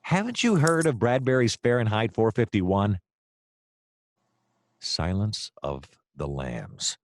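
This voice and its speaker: An actor playing a hapless hard-boiled private detective, narrating his case in a wry, deadpan noir radio-play style. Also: lowish voice, slow pace, no echo, studio quality, exaggerated voice. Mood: eager, pushy.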